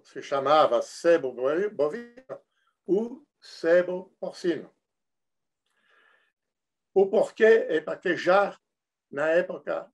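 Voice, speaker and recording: Slow, gravelly man with a northern French accent. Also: fairly high pitch. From northern France. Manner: halting, many fillers and restarts. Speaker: industrial projects consultant. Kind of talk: technical lecture.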